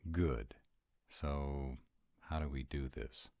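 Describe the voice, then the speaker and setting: A man playing a gruff, grumbling tsundere were-dragon who is secretly soft, in an audio roleplay addressed to the listener. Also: a bass voice, takes it slowly, dry recording, full character voice. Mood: reluctant, exasperated. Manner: grumbling, exasperated, softening into boyish eagerness.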